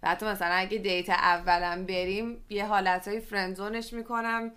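A woman's voice: mid-range voice, level tone, a bit bright. A woman in a casual podcast chat, speaking informally and mixing English words into her speech. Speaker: podcast guest or co-host.

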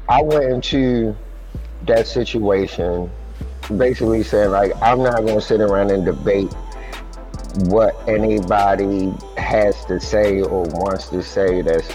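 A man being interviewed as a guest singer, telling stories in a relaxed, laid-back way. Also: fairly low pitch, low-quality recording. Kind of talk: casual interview.

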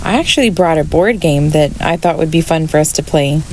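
A woman's voice: deep voice, brisk, darkish tone. A woman playing a hesitant but friendly character in a radio play, understated. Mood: casual, hesitant. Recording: noisy, rain ambience behind.

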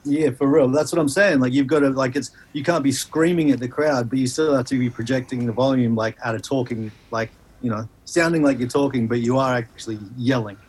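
A man with a middle-pitched voice, a musician guest on a chat podcast. He talks in loose, sweary, joking rambles over a video call. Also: fairly even intonation, a little fast, few pauses, a little mellow, husky, poor audio. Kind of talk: casual banter.

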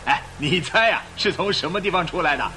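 A man's voice: a little high, fairly quick, pushed and bright, slight background noise. An actor playing a jovial, gossipy male ship passenger, telling things with relish and exaggeration in a Chinese radio drama. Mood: amused, gossipy. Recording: radio drama with music behind.

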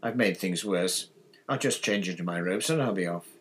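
A man voicing a weary middle-aged father with a heavy, measured, soothing manner in an audiobook. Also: low voice, slightly rough. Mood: weary, resigned.

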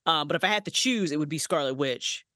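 Woman guest, chatty and excitable, free with profanity, voice jumping high when thrilled; dry, close recording. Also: fast, dry recording. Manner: animated, swears freely, big pitch jumps when excited. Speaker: podcast guest, writer and voice actress. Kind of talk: casual podcast interview.

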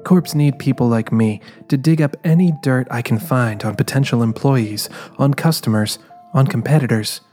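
A man's voice: medium pitch, normal speed, close to an everyday voice. A man playing a guarded, driven female private eye, narrating introspectively in a scripted audio drama. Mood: guarded, reflective. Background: quiet music.

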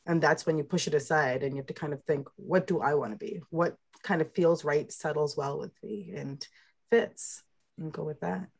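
A young adult woman with a lower voice, a guest speaking reflectively in a casual conversation and talking in imagery. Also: normal speed, gravelly.

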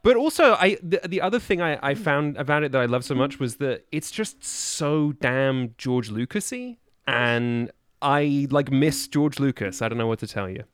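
A man speaking as a podcast guest in casual conversation, with a halting, discursive delivery. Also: medium pitch, expressive, smooth, no echo, studio quality. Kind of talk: casual chat.

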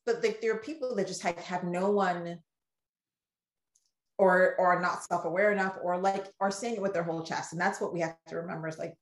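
A woman guest on a podcast, talking in a loose, animated, rambling way and acting out the things people say to her. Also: fairly low pitch, fairly quick. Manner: animated, rambling, acts out quoted exchanges. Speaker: romance author guest. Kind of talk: casual podcast chat. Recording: roomy echoey room sound.

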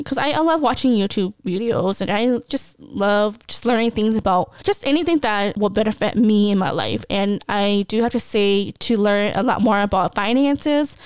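A woman's voice: mid-range voice, clean tone, dry recording. A woman in her twenties talking casually as a podcast host, chatty, laughing often and restarting her sentences. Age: 20s. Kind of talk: casual personal talk.

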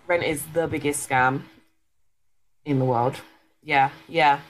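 A woman in her late twenties co-hosts a casual podcast with a somewhat low voice. She talks candidly and reflectively and speaks straight to the listeners. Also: fairly even intonation, slow pace, slight background noise. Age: late 20s. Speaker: podcast co-host. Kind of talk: casual chat.

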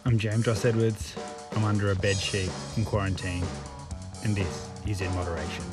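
A man's voice: on the low side, flat delivery, normal speed, loud music behind the voice. An Australian man hosting a podcast informally, with a dry, understated delivery. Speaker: podcast host. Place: under a bed sheet at home.

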